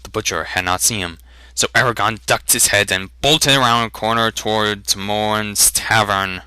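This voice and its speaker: A man's voice: lowish voice, fairly even intonation, normal speed, sharp tone, clear, resonant tone, no room sound. A man narrating a fantasy novel as a dramatic reading. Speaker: audiobook narrator.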